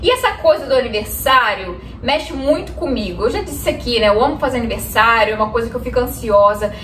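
A young woman in her twenties speaks in a high, bright voice, earnestly talking to the camera and laughing softly now and then. She stands a few steps back from the mic, so her voice picks up an echoey room with faint background hiss. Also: lively intonation, brisk, far from the mic. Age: 20s. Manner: earnest, gestures constantly, often laughs softly. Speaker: vlogger. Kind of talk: reflective talk to camera. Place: room in front of a bookshelf, indoors. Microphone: camera a few steps away.

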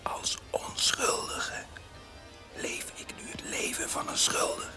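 An actor in a radio drama playing an anguished, guilt-ridden figure who speaks in a hushed, breathy whisper. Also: slow pace. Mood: anguished, despairing. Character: anguished child of Nazis, tormented by inherited guilt. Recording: music behind the voice.

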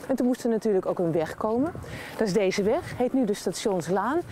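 A woman's voice: mid-range voice, animated, brisk, dark tone, slight background noise. A middle-aged woman working as a TV presenter, presenting a regional documentary and doing interviews.

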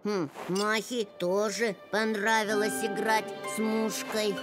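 A woman's voice: mid-range voice, flat delivery, takes it slowly, over loud music, natural voice. A woman voicing a polite, earnest little polar bear cub in a cartoon, speaking thoughtfully. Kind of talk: animated series character acting.

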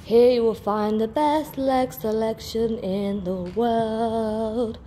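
A woman's voice: a little high, steady tone, slow, warm tone, soft background music. A young woman, a personal trainer, chatting casually as she films a walkthrough of a large indoor gym on a phone held at arm's length, upbeat and full of ums and restarts. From England. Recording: reverberant gym hall with faint ambience.

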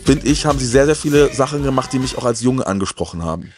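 A man's voice: mid-range voice, expressive, quick pace, over music. A man, hosting a casual, enthusiastic fan chat and repeating words for emphasis. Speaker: host of a retro TV talk show. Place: small indoor room with shelves of media. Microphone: handheld microphone.

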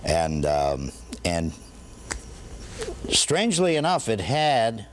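American guy being interviewed, low voice but super lively, wordy n halting. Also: a little hiss or hum. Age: middle-aged. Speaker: interview guest. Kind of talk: interview recollection.

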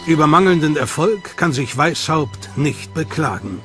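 Male documentary narrator, high voice, scripted, suspenseful, music loud behind. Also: expressive, a little slow. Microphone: studio mic. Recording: studio voice-over over loud music.

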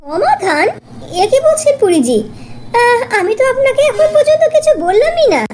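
A woman's voice: very high-pitched, sing-song, normal speed. A woman voicing a poor, innocent young village girl in an earnest cartoon style. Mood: puzzled. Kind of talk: Bengali fairy-tale cartoon acting. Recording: noticeable background noise.

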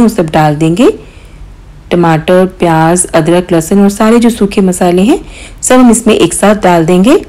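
Woman doing a cooking voiceover, calm step by step, little ums here and there. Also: mid-range voice, rough recording. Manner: calm step-by-step instruction with mild hesitations. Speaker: cooking channel host. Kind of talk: recipe voice-over narration.

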